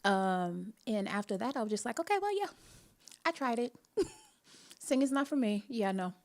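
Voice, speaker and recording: A woman's voice: a little high, moderate pace. Laughing Jamaican woman telling her story. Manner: laughs often, animated, many restarts and asides. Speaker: podcast host. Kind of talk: casual faith talk, personal storytelling.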